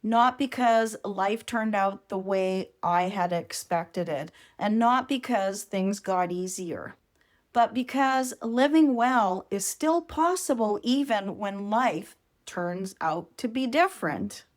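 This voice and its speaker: A woman hosting a podcast, giving a reflective personal monologue in short, deliberate, measured phrases. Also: mid-range voice. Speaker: podcast host.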